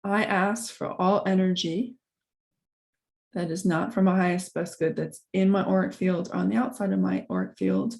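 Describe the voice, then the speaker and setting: Mellow-voiced woman leading a guided meditation. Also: on the low side, vocal fry. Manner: calm, gentle, unhurried with long pauses. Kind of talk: guided meditation, soft instruction.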